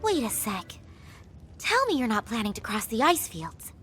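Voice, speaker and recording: A plucky sassy little innkeeper lady for a fantasy game, high voice that bounces all over. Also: big pitch swings, normal speed. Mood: exasperated, warning. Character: plucky, bossy, kind-hearted little female innkeeper. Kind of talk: video game voice acting. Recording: faint game music behind.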